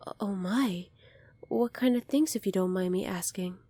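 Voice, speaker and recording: A woman playing a gentle, earnest young alien princess in an ASMR roleplay, speaking softly and politely to the listener. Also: mid-range voice, moderate pace, dry recording, close to an everyday voice. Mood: concerned. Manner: soft-spoken, polite, earnest, apologetic.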